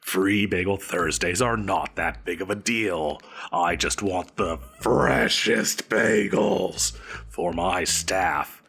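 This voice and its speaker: A man's voice: deep voice, sing-song, slow pace, gravelly, a bit bright, breathy edge, exaggerated voice. An actor playing a pompous, flustered supervillain boss in an audio roleplay, theatrical and haughty, stammering when he gets defensive. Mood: defensive, justifying. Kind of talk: scripted audio roleplay acting.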